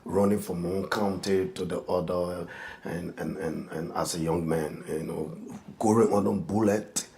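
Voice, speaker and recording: Gravelly deep-voiced pastor. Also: male voice, fairly even intonation, warm tone. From Liberia. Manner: halting, many restarts and fillers, earnest. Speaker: pastor, guest. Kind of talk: personal testimony in an interview.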